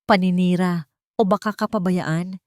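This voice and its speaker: A woman's voice: lowish voice, fairly even intonation, normal speed, dry recording, clean studio sound, close to an everyday voice. Woman voicing an angry, accusing husband. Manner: accusatory, raised and forceful. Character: angry, accusing husband, adult man, bitter and suspicious. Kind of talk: audio drama storytelling in Tagalog.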